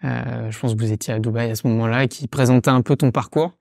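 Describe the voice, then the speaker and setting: A man's voice: medium pitch, steady tone, quick pace, gravelly, a little mellow, no room sound. Man, podcast host, mid-range voice, dry close mic, hesitant, friendly. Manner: friendly, hesitant, frequent "euh" fillers. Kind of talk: casual interview hosting.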